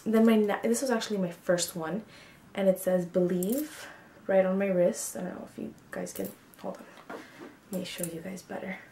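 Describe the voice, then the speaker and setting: A woman's voice: medium pitch, moderate pace, dark tone, echoey room, slight background noise. A woman of twenty-two chatting frankly to camera about herself in a casual get-to-know-me video, with lots of ums and likes. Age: 20s. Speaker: beauty vlogger. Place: small bedroom, indoors.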